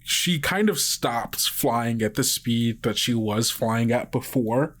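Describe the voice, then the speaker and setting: A man running a tabletop game as game master, improvising vivid narration in a halting, conversational way. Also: medium pitch, a bit bright.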